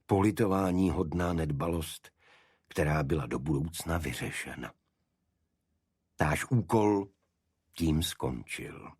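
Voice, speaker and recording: Deep raspy guy reading a mystery novel, literary narration, really dry studio sound. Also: pauses often, a little mellow, no room sound. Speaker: audiobook narrator. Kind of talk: scripted literary narration of a detective story.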